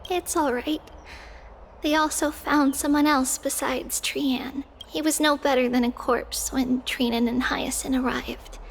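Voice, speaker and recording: A woman voicing a small, wise, girlish oracle with a measured, earnest manner in a video game. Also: sits high, fairly even intonation, creaky, a little hiss or hum. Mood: subdued.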